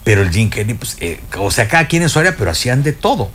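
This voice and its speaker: A man's voice: mid-range voice, big pitch swings, fast talker, a bit bright, a little hiss or hum. An older man with a Mexican accent, chatting as a music expert on a radio show, telling stories with many fillers and restarts. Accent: Mexican Spanish. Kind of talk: casual radio chat. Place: radio studio.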